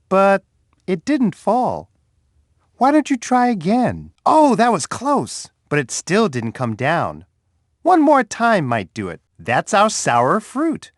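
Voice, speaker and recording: A man voicing a big, friendly male bear in a children's game, who speaks slowly and coaxingly to a young child. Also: fairly high pitch, no room sound, studio quality. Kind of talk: educational game voice clips.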